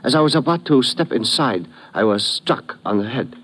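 An actor playing a dignified, wary doctor, speaking formally and precisely in old radio drama style. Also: male voice, medium pitch, expressive, normal speed, fairly bright tone, breathy edge. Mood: guarded, formal. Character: dignified, wary male doctor, kidnap victim. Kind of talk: 1950s radio drama acting.

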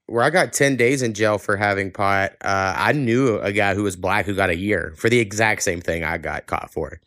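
A man hosts a relaxed podcast conversation. His voice is on the low side, recorded dry with little room sound. He talks informally and pokes fun at himself. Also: moderate pace, dry recording. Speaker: podcast host. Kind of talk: casual chat interview.

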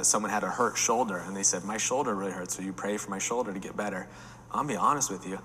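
Pastor in his 40s, bright forceful voice, candid, reverberant room. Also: fairly low pitch, slight background noise. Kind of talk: panel discussion.

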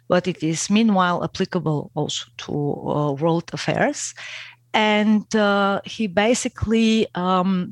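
Woman, guest expert, slow deliberate delivery with frequent fillers. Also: on the low side, dry recording. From Bulgaria. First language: Bulgarian. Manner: hesitant, many fillers, careful academic phrasing. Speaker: guest, geopolitics researcher. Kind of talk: interview answers.

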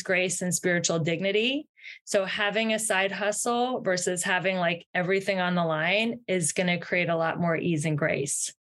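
Woman, podcast guest, upbeat, earnest, encouraging, mid-pitched voice. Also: a bit bright. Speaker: podcast guest, success mentor. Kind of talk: interview answers.

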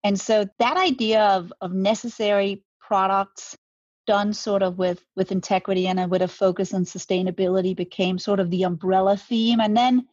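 A woman's voice: mid-range voice, fairly even intonation, normal speed, a little mellow, no room sound, muffled recording. A woman, talking reflectively as an interview guest, often restarting her words. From Denmark. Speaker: guest, brand co-founder. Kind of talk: conversational interview.

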